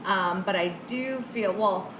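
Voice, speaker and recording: A woman's voice: mid-range voice, a little slow. A woman with a Californian accent chatting casually and agreeably as a podcast guest, using lots of slang. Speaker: podcast guest, TV hair stylist. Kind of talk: casual chat. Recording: roomy echo, noticeable background noise.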